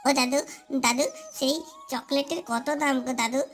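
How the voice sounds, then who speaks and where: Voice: high-pitched, fairly even intonation, a bit bright, faint music behind. A performer voicing a young grandchild in a cartoon, eager, whiny and childishly pleading. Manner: slow, drawn-out childish pleading. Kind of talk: cartoon voice acting.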